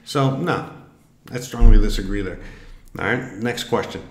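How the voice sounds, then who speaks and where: A man's voice: lowish voice, normal speed, a bit bright. A man, musing aloud in a rambling, filler-heavy way while taking an online quiz on a desk webcam. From Miami, Florida, US. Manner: rambling, many fillers and restarts. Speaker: vlogger. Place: home room, indoors. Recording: echoey room.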